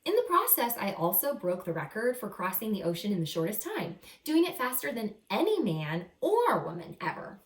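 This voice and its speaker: A woman reading a picture book aloud to children as a teacher, expressive and encouraging, pausing to explain words simply. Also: mid-range voice. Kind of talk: animated children's read-aloud with simple asides. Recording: roomy echo, distant microphone.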